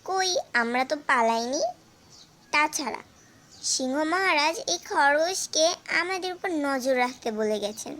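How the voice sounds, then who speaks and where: Lady doing a clever mama goat from a cartoon, really high voice, smooth-talking flatterer, coaxing. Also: a little slow. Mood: glib, insistent. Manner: coaxing, glib, persuasive. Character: clever mother goat, sly flatterer protecting her kid. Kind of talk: animated fable character acting.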